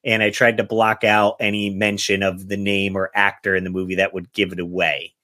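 A man's voice: deep voice, little pitch movement, fairly quick, bright, forceful tone. A man chatting casually as a podcast host in an easygoing manner.

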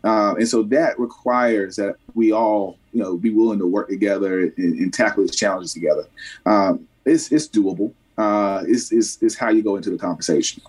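A man, answering interview questions haltingly with many fillers and restarts. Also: lowish voice, darkish tone, slightly rough, distant mic, low-quality recording. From Columbia, South Carolina. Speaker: mayoral candidate. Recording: voice somewhat off mic.

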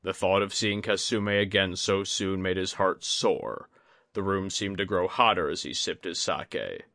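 Male fantasy audiobook narrator: deeper than average, measured literary read, close dry sound. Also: vocal fry, a bit bright, no echo. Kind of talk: scripted fantasy story narration.

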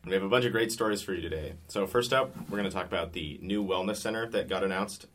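Young male student host, very low voice, steady scripted news read. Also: fast talker. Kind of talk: reading news stories in a studio booth. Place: recording booth.

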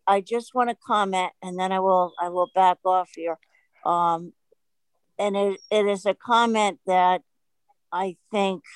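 A woman calling in to a talk show, friendly and halting. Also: medium pitch, clear, resonant tone, no echo, rough recording. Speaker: caller. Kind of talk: casual call-in chat.

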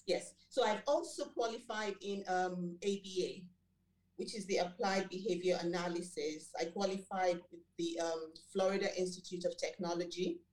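A middle-aged Nigerian woman whose first language is Yoruba, answering interview questions in a measured way with many fillers and self-corrections. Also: fairly low pitch, steady tone, a little mellow, echoey room, low-quality recording. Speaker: guest, school director and behavior analyst.

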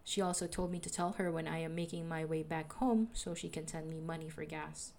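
A young woman with a soothing voice, calmly narrating a personal audio diary as a podcaster. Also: sits low, fairly quick, a little hiss or hum. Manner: calm, reflective, confessional.

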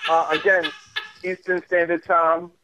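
A man's voice: sits high, sing-song, unhurried, creaky, crowd noise. A man hosting a podcast, reading plugs for its pages and sounding exasperated. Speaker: podcast host. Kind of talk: podcast sign-off. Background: co-hosts chattering.

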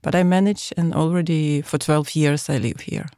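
Gravelly-voiced woman guest. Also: sits low, mellow, no echo, studio quality. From Ukraine. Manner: halting non-native English with many fillers. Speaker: guest, association chairwoman. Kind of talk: podcast interview.

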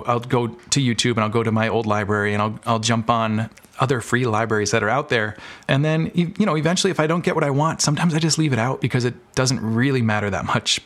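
A man's voice: medium pitch, fairly bright tone. A man talking casually as an interview guest, explaining his creative work with examples. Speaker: podcast guest, audio drama maker and filmmaker.

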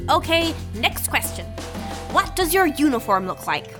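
A woman's voice: sits high, big pitch swings, a little slow. An actress playing an eager, cheeky young woman hosting a homemade video in an audio drama, breezy and full of casual slang. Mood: cheerful, coaxing. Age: young adult. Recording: music behind the voice.

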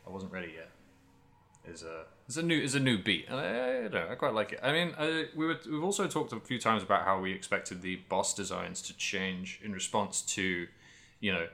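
A man with a slightly low, forceful, bright voice talks as a podcast co-host. His delivery is casual and chatty, wandering as he thinks aloud about games. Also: lively intonation, a little fast, gravelly. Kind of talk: casual video game discussion.

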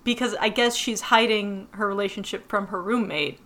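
Chatty American woman, bright voice. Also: medium pitch, normal speed. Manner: chatty, laughs often, many fillers. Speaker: podcast co-host. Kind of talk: casual chat recapping a TV episode.

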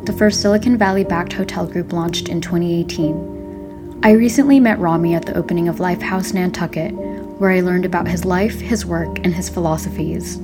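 A woman hosting a podcast, reading a polished introduction and asking interview questions in a measured way. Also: fairly low pitch. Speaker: podcast host. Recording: music under the voice.

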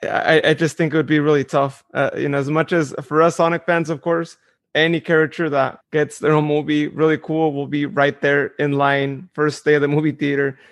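A man with a slightly high, gravelly voice that stays flat and even, heard close and dry. He speaks haltingly on a podcast, restarting his sentences often. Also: dry recording. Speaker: podcast co-host. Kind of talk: casual podcast chat.

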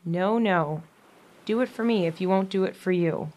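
Actress as frail young woman, gentle, ailing, reflective, quietly resolute, modern audio drama. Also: fairly low pitch, normal speed, close to an everyday voice. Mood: firm, urging.

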